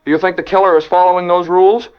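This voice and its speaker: A man's voice: high-pitched, level tone, rapid-fire, smooth, lightly acted. A man playing a radio interviewer in a drama, asking an expert probing questions steadily. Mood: steady, inquiring. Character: composed male radio host questioning an expert. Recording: dull lo-fi radio sound with faint music.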